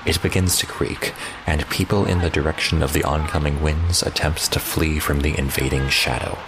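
An actor playing a cold, controlling man who speaks formally and deliberately, with menacing politeness, in a fan-dub audio drama. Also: a bass voice, fairly even intonation, noisy background. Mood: ominous, formal. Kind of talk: scripted dramatic dialogue and narration.

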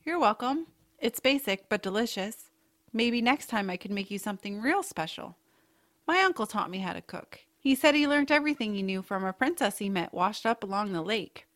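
A woman playing a kindly, whimsical aunt in a family audio drama, speaking with gentle earnestness. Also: mid-range voice, lively intonation, moderate pace, close to an everyday voice. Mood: warm, encouraging. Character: kindly, whimsical aunt, bookish home cook.

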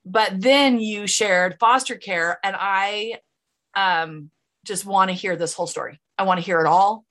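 Woman podcast host, Utah accent, bright tone, chatty and giggly. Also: mid-range voice, measured pace. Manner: bubbly, laughs often, chatty. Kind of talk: casual interview chat.

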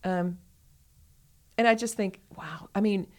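A woman's voice: medium pitch, takes it slowly. A woman co-hosting a podcast in casual conversation, stressing points by repeating words. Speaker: podcast co-host.

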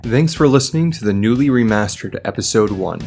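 A man's voice: on the low side, animated, fairly quick, a little mellow, soft background music. A man speaking as a player and announcer on a tabletop role-playing podcast.

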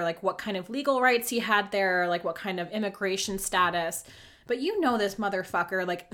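A woman's voice: mid-range voice, fairly bright tone. A young woman hosting a podcast, recapping a reality show in casual, sarcastic chat, full of filler words and swearing. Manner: chatty, sarcastic, lots of filler likes and swearing. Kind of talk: casual solo commentary.

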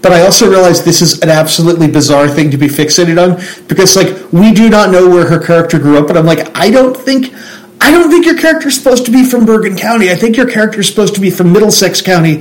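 A man's voice: high-pitched, animated, quick pace, rough recording. A man with a Central New Jersey accent, chatting casually and rambling through tangents with many restarts. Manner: rambling, self-interrupting, restarts a lot. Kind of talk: casual chat.

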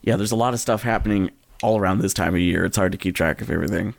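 A man's voice: sits low, fast, gravelly, dry recording. An American man hosting a casual podcast chat in a wry, joking way. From US Midwest. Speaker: podcast host.

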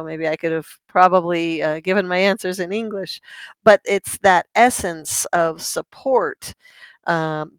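A woman interpreting a guest's answer into English, measured and calm with soft fillers. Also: fairly low pitch, smooth, no echo, low-quality recording.

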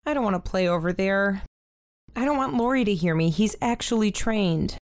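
An actress playing a shy, timid teenage sister with a mild, hesitant manner in a modern audio drama. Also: female voice, mid-range voice, moderate pace, dry recording, studio quality, lightly acted. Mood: anxious, hesitant.

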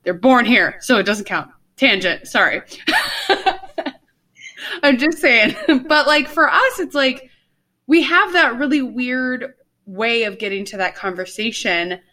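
A woman's voice: on the high side, animated, takes it slowly, pushed and bright. A young woman co-hosting a podcast in rambling casual chat, full of fillers, talking over a video call. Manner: rambling, lots of fillers and laughs. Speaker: podcast co-host.

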